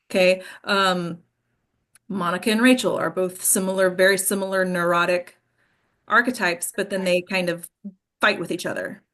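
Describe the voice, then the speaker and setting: A woman's voice: mid-range voice, fairly bright tone. A woman teaching a class as an acting coach, explaining informally and often checking in with the listeners. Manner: explanatory, checks in with "okay?" and "right?".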